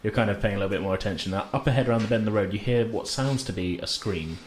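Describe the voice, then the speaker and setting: A man's voice: fairly low pitch, rapid-fire, slight background noise. A man working as game master, narrating casually in an informal, hesitant way. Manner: informal, hesitant, chuckling asides.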